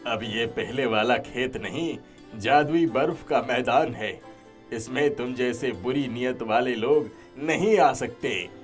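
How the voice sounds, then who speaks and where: A man acts out an old village father for a cartoon moral tale. He is kindly, firm and earnest, speaking slowly at a middle pitch with music behind him. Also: close to an everyday voice. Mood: assured. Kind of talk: Hindi moral-story cartoon acting.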